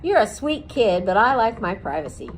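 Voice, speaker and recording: A woman doing a blunt gruff old porcupine. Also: on the high side, very animated, moderate pace, slight background noise. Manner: blunt, loud, teasing.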